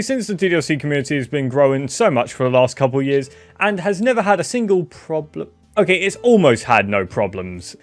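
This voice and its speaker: A man giving casual, opinionated guest commentary in an informal, joking, sweary manner. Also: mid-range voice. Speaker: guest video contributor.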